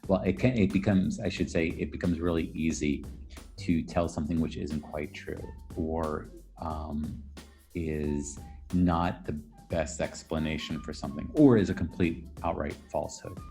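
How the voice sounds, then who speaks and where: Deep-voiced male academic explaining ideas. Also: steady tone, unhurried, warm tone, soft background music. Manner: articulate, reflective, rephrases as he goes. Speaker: academic interviewee, architectural historian and professor. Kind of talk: thoughtful explanatory interview answers.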